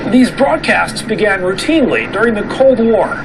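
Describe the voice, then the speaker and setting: A man's voice: sits high, animated, moderate pace, a crowd in the background. A man narrating a scripted news report. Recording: very noisy archived TV report.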